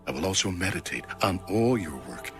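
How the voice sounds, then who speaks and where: A man's voice: deep voice, animated, fairly quick, fairly bright tone, faint music behind. A man giving a solemn, reverent, declamatory reading of scripture as an audio Bible narrator.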